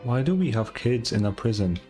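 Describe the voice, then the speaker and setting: A woman live streamer giving casual game reactions in a dry, tired-sounding, low-key manner. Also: expressive, brisk. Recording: game music behind the voice.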